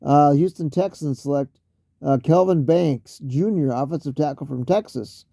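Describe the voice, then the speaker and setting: A man losing his voice, talking casually through a solo mock draft, halting with fillers and often clearing his throat. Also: fairly high pitch, warm tone, dry recording, studio quality.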